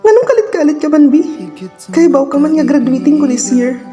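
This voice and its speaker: Babaye nga nag-arte og batan-ong estudyante, taas og tingog. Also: female voice, sits high, expressive, brisk, warm tone, soft background music. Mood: worried, firm. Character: sensible young student girlfriend, firm about priorities. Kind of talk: amateur radio drama acting.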